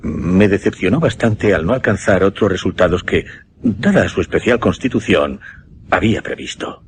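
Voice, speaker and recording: An earnest gentleman mesmerist. His telling is formal and deliberate, with widely moving intonation and dramatic pauses, as in a suspense radio play. Faint noise sits behind him. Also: deep voice, animated. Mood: reflective, measured.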